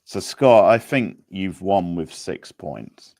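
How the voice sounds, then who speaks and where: Male quiz host, casual and unhurried, deep creaky voice with a halting delivery. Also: expressive, a little mellow, no echo. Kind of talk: casual live-stream quiz hosting.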